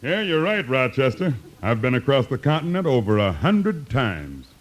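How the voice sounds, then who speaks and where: A talkative, easygoing train porter in a vintage radio comedy. His pitch is average and moves around in a lively way. It is a 1950s radio recording. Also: normal speed.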